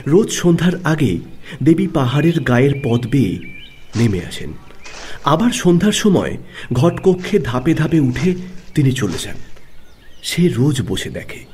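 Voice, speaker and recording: A man narrating a classic literary audio story in a dramatic, scripted style with measured phrasing and pauses. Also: fairly high pitch, darkish tone, a little hiss or hum.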